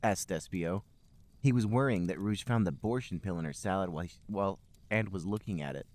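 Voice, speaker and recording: A man's voice: low-pitched, brisk, a little mellow. A man hosting a podcast, reading fan fiction aloud for comedy. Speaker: podcast host and reader.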